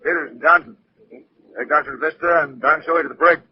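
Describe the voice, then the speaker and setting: High-pitched blustery ship's captain played by a man. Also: fairly quick, lightly acted. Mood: relieved, awkward. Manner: blustery, blunt, colloquial. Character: gruff, indignant male ship's captain. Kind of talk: 1930s-40s radio drama acting. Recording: muffled old radio recording, hiss.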